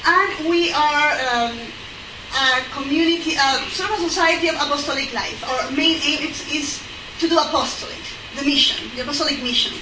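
High-voiced Peruvian woman in an echoey hall. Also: normal speed. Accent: Peruvian Spanish. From Peru. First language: Spanish. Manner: careful, hesitant, restarts phrases. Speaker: guest speaker, church history lecturer. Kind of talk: public talk. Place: event hall with audience. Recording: echoey room, far mic, noisy.

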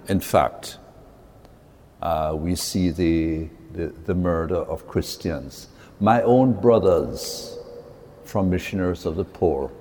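A man speaks slowly in a low, mellow voice, addressing a church congregation. He talks earnestly and haltingly, repeating words as he gathers his thoughts. His voice rings out with the long reverb of a large cathedral. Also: slightly rough. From Jamaica. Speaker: priest. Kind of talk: spoken talk to a church audience.

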